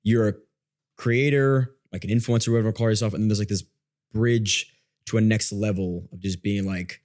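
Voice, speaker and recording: A man's voice: on the low side, steady tone, moderate pace, a little mellow. A man chatting casually as a podcast guest, telling stories informally and often interrupting himself. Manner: informal, self-interrupting storytelling. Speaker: podcast guest, online content creator. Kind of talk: casual chat.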